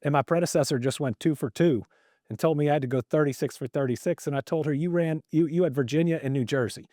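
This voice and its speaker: A man's voice: on the high side, quick pace, dark tone, dry recording, clean studio sound. A middle-aged man from Kentucky hosting a podcast in earnest, casual conversation, often repeating words as he starts a thought. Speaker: podcast host and state governor.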